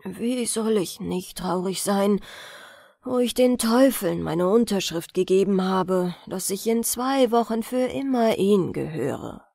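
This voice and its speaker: A woman storyteller plays a meek landowner from a fairy tale, frightened and pleading. The words come slowly with lively pitch, in a dry, close recording. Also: gravelly, no room sound. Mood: despairing. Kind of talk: fairy-tale audiobook character voice.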